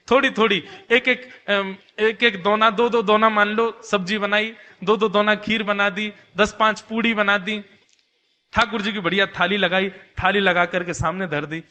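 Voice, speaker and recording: High, bright male storyteller in a reverberant hall. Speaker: devotional storyteller.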